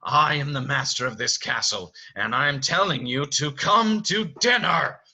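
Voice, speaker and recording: A man voicing a furious, domineering beast who is master of a castle, commanding and forceful, in a stage play run-through. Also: medium pitch, big pitch swings, slow pace, heavily acted.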